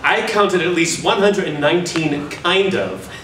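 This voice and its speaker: A man's voice: high voice, expressive, normal speed. A middle-aged man giving a pompous, over-enunciated dramatic reading on a stage. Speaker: stage performer reading letters. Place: large event studio stage with audience. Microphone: stand mic, recorded from far camera. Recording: echoey stage, distant mic, loud room noise.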